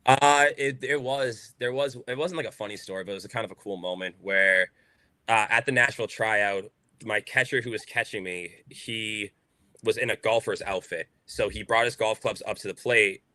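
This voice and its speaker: A man's voice: fairly low pitch, moderate pace, pushed and bright, dry recording. A young man from Boston with a Boston accent, a baseball player talking casually in a podcast interview, outspoken and full of fillers.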